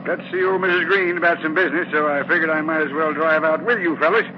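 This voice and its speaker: A man's voice: fairly high pitch, steady tone, fast, close to an everyday voice. An actor playing a folksy older country man with a drawl in an old-time radio drama. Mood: folksy, friendly. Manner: rustic drawl, chuckling, plain-spoken. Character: folksy old country local who rents out houses. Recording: dull old radio recording, loud noise.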